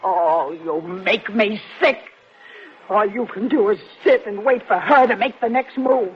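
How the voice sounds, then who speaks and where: A woman's voice: medium pitch, unhurried, natural voice. An actress playing a menacing, agitated older woman stranger who pleads and demands in an old-time radio thriller. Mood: suspicious, scornful. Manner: agitated, demanding, swinging between pleading and snapping. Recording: noisy, muffled old radio drama recording.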